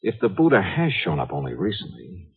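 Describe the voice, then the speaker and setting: An actor playing a skeptical, dogged insurance investigator in a 1950s radio drama, clipped, probing and businesslike. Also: male voice, sits low, huge pitch swings, a little fast, husky, heavily acted. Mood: skeptical, musing. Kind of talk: 1950s radio drama acting, first-person narration. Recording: muffled old radio recording.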